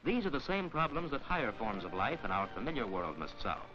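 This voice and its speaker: A man delivering formal scripted narration in the measured, instructional manner of a mid-century educational science film, with careful enunciation. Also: medium pitch, sing-song, music in the background. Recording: dull, hissy 1940s film soundtrack.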